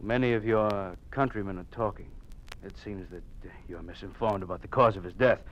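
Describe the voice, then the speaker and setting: A man playing a stern, formally polite but menacing state official who interrogates in measured tones, in an old-time radio drama. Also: fairly low pitch, highs cut off, natural voice. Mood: coolly probing. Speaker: actor.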